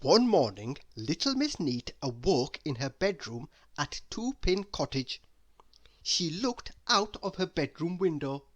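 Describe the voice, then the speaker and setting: A woman reading a children's story aloud as an expressive narrator. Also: low voice, a little slow, muffled recording. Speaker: story reader.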